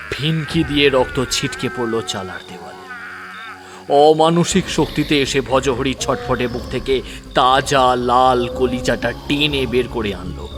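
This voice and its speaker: A man narrating a horror audio story dramatically, sometimes dropping to a whisper. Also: medium pitch. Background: music.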